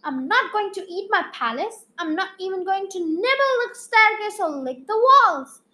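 Storybook, spoiled prince, loud, indignant, woman reader. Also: very high voice, expressive, normal speed, reverberant, full character voice. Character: spoiled stubborn crazy prince.

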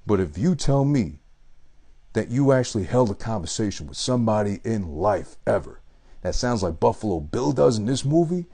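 Husky low-voiced man ranting profanely. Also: very animated, moderate pace, mellow. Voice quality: unusual voice that might put people off. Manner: rambling, profane, digressive. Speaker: movie reviewer. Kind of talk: casual profane movie rant.

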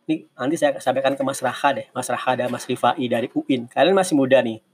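A man's voice: medium pitch, fast, slight background noise. A man, giving casual advice in a conversational, explanatory way as an online mentor, often correcting himself and wandering off on asides. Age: 40s. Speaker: online mentor answering audience questions. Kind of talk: casual advice talk in a live session.

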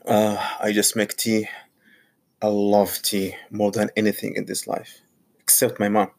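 A man from Saudi Arabia, a graduate student and teacher whose first language is Arabic, talking casually about learning English. He speaks haltingly and often repeats or restarts his phrases. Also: lowish voice, completely flat delivery. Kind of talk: casual personal monologue.

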